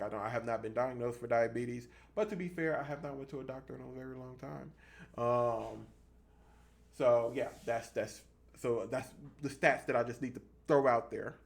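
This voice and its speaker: A man in his thirties giving a casual fitness vlog monologue, explaining things haltingly and repeating points for emphasis. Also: mid-range voice, creaky. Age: 30s. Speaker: fitness vlogger.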